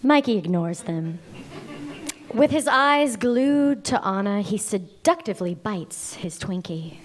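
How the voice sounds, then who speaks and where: A woman reads the stage directions at a live script table read. Her delivery is slow and deliberate. Her voice is mid-pitched. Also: lively intonation. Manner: measured, deliberate reading of scene descriptions.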